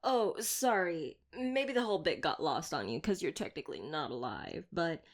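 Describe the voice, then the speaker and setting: A woman's voice: low voice, very animated, moderate pace, exaggerated voice. Woman playing a theatrical, flirty, chatty vampire hunter. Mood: playful, rambling. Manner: wordy, playful, teasing, mock-grand delivery. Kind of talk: ASMR roleplay audio drama addressed to listener.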